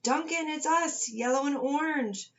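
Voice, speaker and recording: A woman's voice: sits high, measured pace, lo-fi sound, voice pushed up. A woman voicing a weary, fed-up pair of melted storybook crayons, blunt and exasperated.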